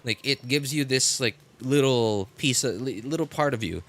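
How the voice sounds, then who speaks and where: A man live streaming casual, unscripted commentary, speaking haltingly and repeating phrases for emphasis. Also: mid-range voice, a bit bright.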